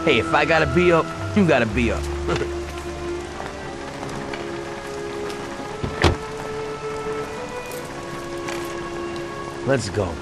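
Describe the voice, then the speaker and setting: A man's voice: medium pitch, wildly swooping pitch, extremely slow, long pauses, over loud music, full character voice. A man playing an easygoing, streetwise mob henchman in a video game, talking in a casual, slangy, ribbing way. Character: easygoing, teasing gangster henchman, streetwise and joking. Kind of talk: video game cutscene acting.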